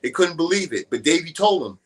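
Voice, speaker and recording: A man's voice: on the high side, lively intonation, a little fast, breathy edge. A man as a guest on a boxing talk show, giving loose and opinionated casual talk.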